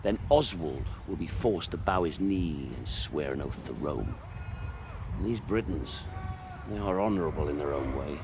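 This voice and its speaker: A deep, stern Roman commander. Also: male voice, animated, background noise. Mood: grave, calculating. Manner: authoritative, measured, forceful when challenged. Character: seasoned Roman army commander, stern, principled and cautious. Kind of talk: dramatic video game cutscene acting.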